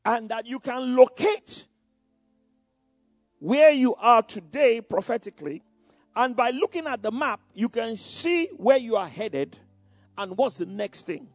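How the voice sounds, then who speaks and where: A man's voice: very high-pitched, lively intonation, measured pace, no room sound, faint music behind. An older man with a Nigerian Yoruba accent, preaching and recounting his past, who often repeats words and says "you know". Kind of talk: sermon-like personal testimony.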